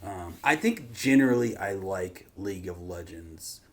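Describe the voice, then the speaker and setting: A man hosting a reaction show with casual, conversational commentary, often trailing off quieter. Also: low-pitched, lively intonation, measured pace.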